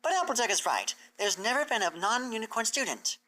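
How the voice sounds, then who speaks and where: A woman voicing an eager, excitable, bookish female pony scholar in a story reading, earnest and gushing as she explains. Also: on the high side, a little fast, voice pushed up. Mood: excited. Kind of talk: fan fiction character reading.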